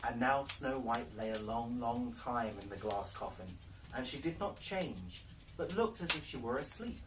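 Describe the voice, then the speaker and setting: A man reading a fairy tale aloud as a storyteller, with theatrical, deliberate phrasing. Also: mid-range voice, animated. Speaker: library storyteller. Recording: noisy, distant microphone, clicks.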